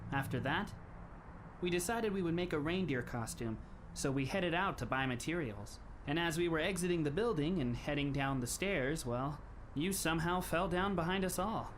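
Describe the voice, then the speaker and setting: A man in an anime fan dub playing a polite, composed teenage schoolboy who speaks in formal, measured phrases with a faintly wry touch. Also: a little high, expressive, slight background noise, lightly acted. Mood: calm explaining.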